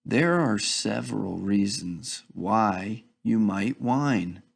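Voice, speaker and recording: Male storybook narrator, instructive read-aloud, unhurried pace with plenty of fry in the voice. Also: lowish voice, expressive. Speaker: children's book reader.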